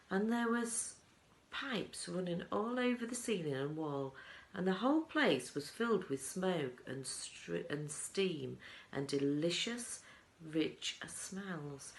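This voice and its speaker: A woman narrates a children's storybook with a low voice and lively, expressive swings in pitch. Her voice has a creaky edge, and the room adds noticeable echo. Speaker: teaching assistant reading to pupils. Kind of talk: storybook narration, read aloud. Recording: roomy, echoing indoor sound.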